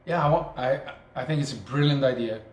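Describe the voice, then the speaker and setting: Soft-spoken man with a Dutch accent. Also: mid-range voice, brisk. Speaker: podcast co-host. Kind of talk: reflective conversational talk. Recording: echoey room with faint noise.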